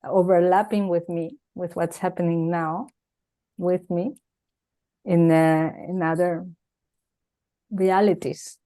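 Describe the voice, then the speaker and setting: A woman with a mixed Venezuelan Spanish accent, Italian by first language, hosting a reflective conversation over a video call and searching gently for her words. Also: fairly low pitch, steady tone, slow, long pauses. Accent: Spanish, Venezuelan mix. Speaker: host. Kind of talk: unscripted heartfelt conversation.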